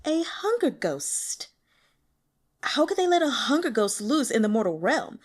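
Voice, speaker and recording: Woman acting a high-pitched, sibilant flirtatious female informant. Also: sing-song, heavily acted. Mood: flirtatious, exasperated. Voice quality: sibilant, hissing drawn-out final syllables. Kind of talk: audiobook character acting.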